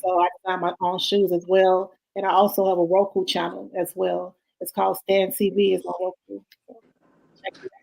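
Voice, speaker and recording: A woman's voice: lowish voice, completely flat delivery, moderate pace, gravelly, a little mellow, low-quality recording. A woman introducing herself casually on a panel. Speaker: radio host and guest.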